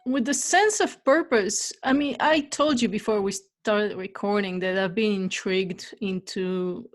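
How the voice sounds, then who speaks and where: A woman's voice: mid-range voice, unhurried. A young adult woman from Bulgaria hosting an interview, telling her own story earnestly with fillers. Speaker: podcast host. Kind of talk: casual interview conversation.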